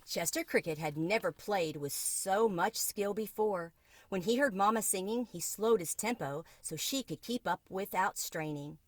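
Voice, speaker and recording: A woman's voice: mid-range voice, moderate pace. A woman reading a children's book aloud as a storyteller.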